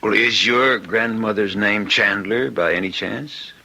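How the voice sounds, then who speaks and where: An actor playing a brusque, world-weary hospital chief of staff in a radio drama, clipped and wry. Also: male voice, fairly low pitch, unhurried, vocal fry, bright, forceful tone, exaggerated voice, poor audio. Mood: businesslike.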